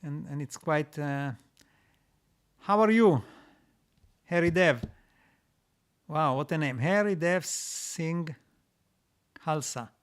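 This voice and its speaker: A man hosting a live stream, reading out viewer comments and answering them in a halting way, with many restarts and repeated words. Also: a little high, clean tone. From Israel. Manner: reads out comments, then answers.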